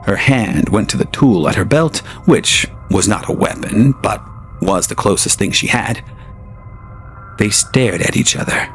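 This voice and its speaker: A man narrating a science fiction audio drama in a slow, measured storytelling manner. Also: lowish voice, expressive, soft background music.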